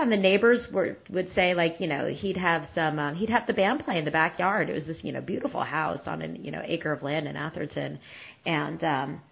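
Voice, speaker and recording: A podcast host in her forties talks in a casual, meandering way, circling back with plenty of fillers and restarts. Her voice sits on the lower side, and the recording sounds a bit dull and lo-fi. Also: slightly rough. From San Francisco Bay Area. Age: 40s. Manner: rambling, many fillers and restarts.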